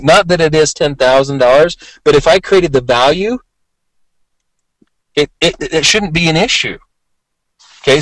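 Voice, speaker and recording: A man's voice: mid-range voice, dry recording, poor audio. A man giving a motivational sales training talk in a voice worn from a long day of talking, conversational and emphatic, often repeating himself. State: voice worn from talking all day, occasional cough.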